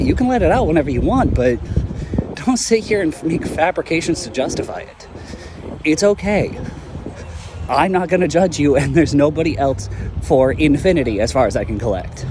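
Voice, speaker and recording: A man's voice: fairly high pitch, background noise, close to an everyday voice. A man voicing a brash, profane young hero in an amateur audio drama who talks bluntly and defiantly.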